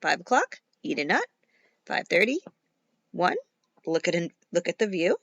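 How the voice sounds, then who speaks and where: A woman reading a children's picture book aloud as a teacher would, in a dramatic, playful way with emphatic pauses. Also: on the low side, big pitch swings, gravelly, fairly bright tone, slightly rough, dry recording. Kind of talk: expressive children's storybook read-aloud.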